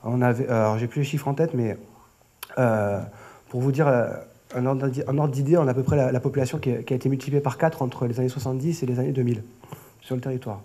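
Mellow, hesitant French man presenting. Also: medium pitch, fairly even intonation, fast. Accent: French from France. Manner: hesitant, often repeating and rephrasing words. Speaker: flood prevention project manager, conference speaker. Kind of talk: conference presentation, semi-improvised. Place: auditorium stage at a lectern. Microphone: lectern gooseneck microphone.

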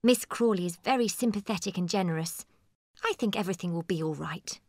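A woman's voice: on the low side, a little fast, darkish tone, no echo, studio quality. An actress playing a clever, coy, scheming young woman in a dramatised audiobook. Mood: confiding. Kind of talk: dramatised audiobook character acting.

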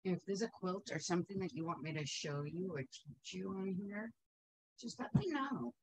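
A woman's voice: mid-range voice, animated, normal speed, darkish tone, breathy edge, rough recording. A middle-aged woman teaching quilting in a casual, practical way, chatting as she pins and sews in her home sewing studio. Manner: chatty, practical, talks while working.

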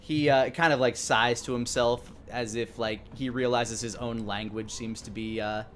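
A man's voice: mid-range voice, fairly even intonation, moderate pace. A man in his thirties narrating as game master, improvising descriptions and searching for his words. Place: indoor room. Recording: music behind the voice.

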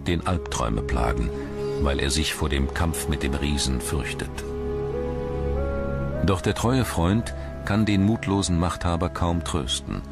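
A man reads scripted documentary narration in a measured, storytelling way. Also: a bass voice. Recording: loud music under the voice. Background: loud background music.

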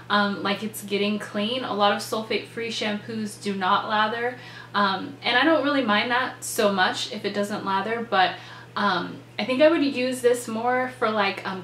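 A woman's voice: medium pitch, a bit bright. A young woman in her twenties chats eagerly to camera about products, her voice a little nasal and stuffed up from a cold, with plenty of ums and likes. She sits in her bedroom and the room gives her voice a noticeable echo, with a faint hum running underneath. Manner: chatty and enthusiastic, many ums and likes. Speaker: beauty vlogger. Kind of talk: casual product review to camera. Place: bedroom, indoors. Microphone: camera in front, seated.

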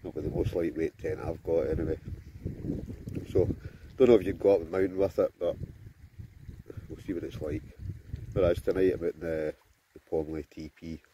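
A man's voice: sits low, fairly even intonation, normal speed, long pauses, mellow, a little hiss or hum, rough recording. A Scottish man in his forties, chatting casually to a phone camera held at arm's length, outdoors by a river and inside a tent, rambling with many fillers. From Scotland. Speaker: vlogger.

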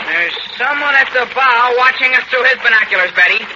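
An actor playing an eager, excitable American boy adventurer, earnest and exclamatory, in a 1940s radio serial. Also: very high-pitched, brisk. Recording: muffled, noisy vintage radio recording.

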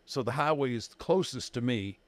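A man in his thirties co-hosting a podcast, retelling a story in an excitable, animated way at a desk mic in a dark studio. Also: medium pitch, moderate pace, no room sound. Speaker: podcast co-host. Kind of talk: casual chat.

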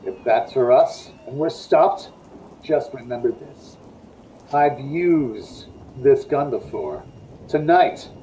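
Guy doing tired threatening crook in old radio suspense play, mellow dark voice, curt, lively pitch, slight noise. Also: takes it slowly, rough recording. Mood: cold threatening. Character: tired, menacing male gunman on the run. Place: indoors at home on a video call.

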